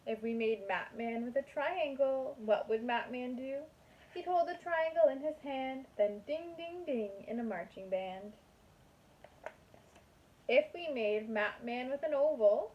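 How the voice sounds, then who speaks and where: A woman's voice: high voice, muffled recording. A woman kindergarten teacher reading a picture book aloud to young children in a sing-song manner.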